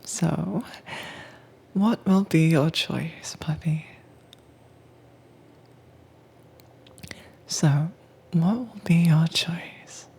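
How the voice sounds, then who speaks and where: Woman acting a breathy, whispering, dominant monster tamer. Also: low-pitched, mellow, full character voice. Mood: coaxing, firm. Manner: slow, coaxing, commanding. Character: calm, teasingly dominant woman who tames captive werewolves. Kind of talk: soft-spoken whispered roleplay audio, ASMR.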